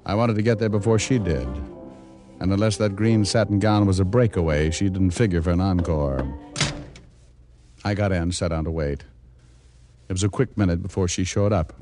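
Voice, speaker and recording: A man playing a tough, cynical, wisecracking private detective in 1940s radio noir style, with deadpan slang. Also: low voice, normal speed, long pauses, lightly acted. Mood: wry narrating. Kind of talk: 1940s radio acting, first-person noir narration. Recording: vintage radio recording with music behind.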